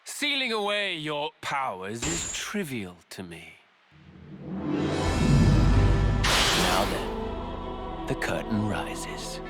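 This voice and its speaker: An actor playing a grandiose, drawling video game villain who mockingly savours other people's suffering, with music behind him. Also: male voice, mid-range voice, very animated, slow. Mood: dismissive. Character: theatrical male villain amused by others' suffering.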